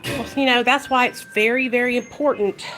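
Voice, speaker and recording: A woman's voice: a little high, fairly even intonation, moderate pace, a bit bright, low-quality recording. A woman vlogging about recovery, talking passionately and bluntly with a strong voice and free swearing. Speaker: vlogger speaking on addiction recovery. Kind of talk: casual direct-to-camera talk. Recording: clear background noise with clicks and kitchen clatter.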